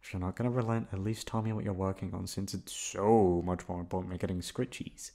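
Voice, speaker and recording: A man's voice: deep voice, fairly even intonation, fairly quick, creaky, warm tone. A man acting as a teasing, affectionate boyfriend in an intimate ASMR roleplay, coaxing and pleading playfully. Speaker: voice actor performing an audio roleplay.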